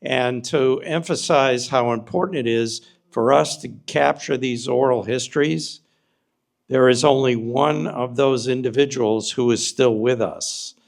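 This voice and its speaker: Slow, gravelly male host. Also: mid-range voice. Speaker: program host. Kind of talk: introducing a program.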